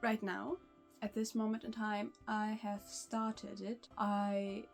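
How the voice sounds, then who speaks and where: A woman's voice: medium pitch, little pitch movement, slow, creaky, soft background music. A young woman whose first language is German, talking casually to camera in English about learning languages, with frequent restarts and small laughs. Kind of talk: casual vlog talk to camera.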